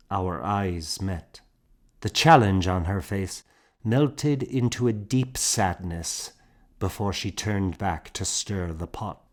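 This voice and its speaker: A man reading a historical novel as scripted audiobook narration. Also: fairly low pitch.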